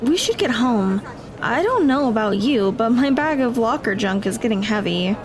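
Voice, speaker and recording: A woman playing an earnest, literal-minded high school girl in an audio drama. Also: animated, normal speed, slight background noise, lightly acted, rough recording. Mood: wry.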